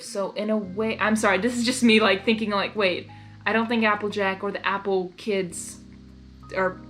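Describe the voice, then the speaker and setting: A woman's voice: medium pitch, a bit bright. A woman reading fan fiction aloud as a narrator, with casual, unrehearsed asides.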